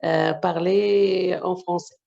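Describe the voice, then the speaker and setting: Casting: woman, first language Arabic, slow halting speech, gravelly dark tone, dull lo-fi call audio. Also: on the low side, steady tone. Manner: halting, many hesitations. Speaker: journalist, section editor. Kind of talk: webinar discussion. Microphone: video call.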